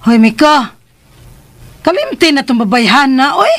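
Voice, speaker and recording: An actress with a Filipino Cebuano accent playing a protective, blunt, excitable mother who scolds and exclaims in a radio drama. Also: sits high, unhurried, no room sound, exaggerated voice. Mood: scolding, insistent.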